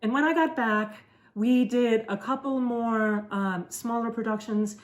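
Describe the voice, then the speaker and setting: A middle-aged woman whose first language is German, telling stories in a relaxed chat with many fillers and restarts. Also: fairly high pitch, unhurried. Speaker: podcast co-host. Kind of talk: casual conversational storytelling.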